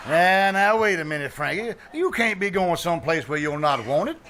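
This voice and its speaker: A man's voice: high voice, expressive, normal speed, sharp tone, slight background noise. A man playing a brash, vain but good-natured bandleader husband in a live old-time radio comedy, mixing folksy slang with mock-grand words. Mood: chatty, affable. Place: live on a small theater stage.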